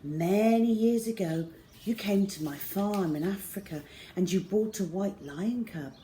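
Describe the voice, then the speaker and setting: A woman voicing a gentle, reassuring young soldier in a storybook, soft and earnest. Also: mid-range voice, measured pace, slight background noise, voice pushed up, lightly acted.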